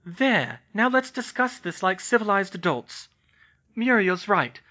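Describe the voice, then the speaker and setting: A man's voice: high-pitched, very animated, measured pace, bright, forceful tone, pitched above the performer's own voice, heavily acted. A man doing Hermione, an earnest young witch. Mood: composed.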